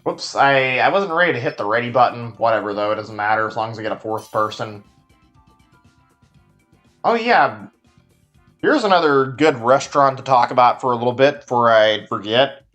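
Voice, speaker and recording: A man's voice: medium pitch, animated, normal speed, a bit bright, muffled recording, faint music behind. A man from Texas with a Texan accent, chatting loosely to his live-stream viewers as he plays, with lots of likes and restarts. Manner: rambling, filler-heavy, answers chat as he plays. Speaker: game streamer. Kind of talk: casual live-stream chat while playing.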